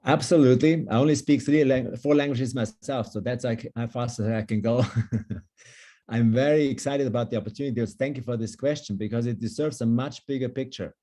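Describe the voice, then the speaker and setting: Sex: man. Native language: German. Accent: German. Role: longevity coach on a video call. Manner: emphatic, upbeat. Timbre: warm, dark. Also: medium pitch, fairly quick. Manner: enthusiastic, emphatic. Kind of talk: online panel discussion.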